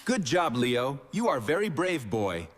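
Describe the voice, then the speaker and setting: A man voicing a kind, patient cartoon dentist who speaks calm, simple broken English. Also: medium pitch, a little slow, long reverb tail. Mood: reassuring.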